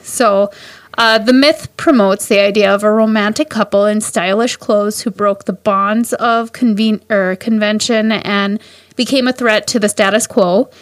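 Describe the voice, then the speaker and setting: A woman's voice: mid-range voice, steady tone, measured pace, no room sound. A woman co-hosting a podcast, telling a story in a giggly, bubbly casual way. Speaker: podcast co-host.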